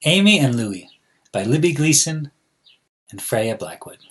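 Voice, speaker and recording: Slow, animated man reading to children. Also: mid-range voice, husky. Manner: addresses children directly, deliberate, animated phrasing. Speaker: school counselor reading a picture book to children. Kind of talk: expressive children's read-aloud.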